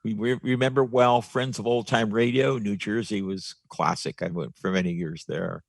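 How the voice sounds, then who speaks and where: A man's voice: lowish voice, steady tone, normal speed, gravelly, no room sound. A man chatting casually on an online club meeting as a visiting member. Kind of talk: casual greeting and chat.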